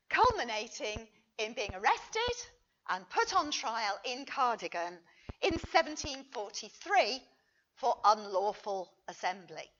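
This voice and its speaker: A woman reading a history paper aloud as a conference speaker, expressive and emphatic, with a wry touch. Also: fairly high pitch, dull audio. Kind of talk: prepared lecture read aloud to an audience.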